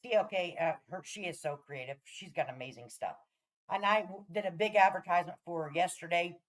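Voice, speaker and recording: A woman's voice: fairly low pitch, a little fast, low-quality recording. Brash, chatty woman livestreamer. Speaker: livestream host. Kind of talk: casual livestream chat with viewers.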